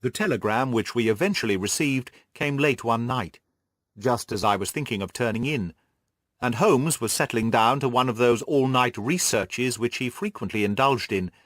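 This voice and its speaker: A man reading literary narration as an audiobook narrator, measured and articulate. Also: medium pitch, a bit bright, no room sound, clean studio sound.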